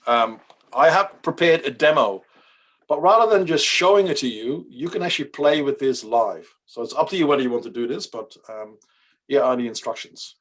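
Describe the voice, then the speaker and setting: A man's voice: medium pitch, animated, a bit bright. Dutch-native man teaching coding online. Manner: casual, halting, many fillers and restarts. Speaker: workshop presenter. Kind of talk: online coding workshop demo.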